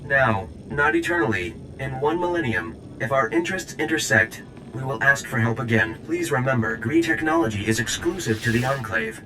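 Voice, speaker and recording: A man's voice: animated, normal speed, natural voice. Stilted, wildly swooping alien droid ambassador voiced by a man. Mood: formal, declarative. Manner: stilted, odd geometric phrasing, formal. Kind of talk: video game character acting. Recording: clear rumbling noise behind.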